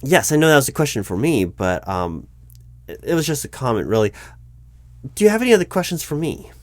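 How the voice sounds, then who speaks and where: A man's voice: medium pitch, very animated, a little fast, no room sound, natural voice. A man playing a polite, awkwardly tactful corporate hiring manager in a one-sided interview roleplay, speaking aloud in a hesitant, stammering, diplomatic way. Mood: concerned, tactful. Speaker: recruiting manager conducting a job interview.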